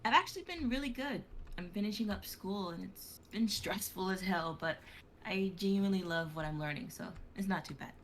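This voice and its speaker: A friendly, slightly tentative student in the early twenties, played by a woman in a dramatic reading. The voice is mid-pitched, recorded on mildly noisy livestream audio. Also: brisk, lightly acted. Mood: shy, pleased. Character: friendly twenty-two-year-old psychology student, tentative and grateful.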